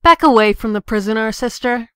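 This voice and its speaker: Woman as a clear-voiced defiant young rebel. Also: on the high side, brisk, no room sound, clean studio sound, lightly acted. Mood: taunting. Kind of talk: amateur comic dub acting.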